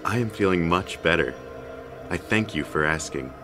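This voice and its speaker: A man's voice: deep voice, animated, moderate pace, music in the background. An actor playing a man taken over by aliens, speaking in stilted, formal phrases in an audio drama. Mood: eerily calm. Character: drowsy man turned eerily formal alien host.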